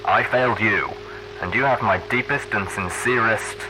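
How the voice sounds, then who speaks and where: A man's voice: lowish voice, moderate pace, noisy background, close to an everyday voice. A man voicing an upbeat, theatrical pony radio news host who speaks in a showy, folksy, heartfelt broadcast style. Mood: earnest. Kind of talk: radio broadcast monologue, earnest and dramatic.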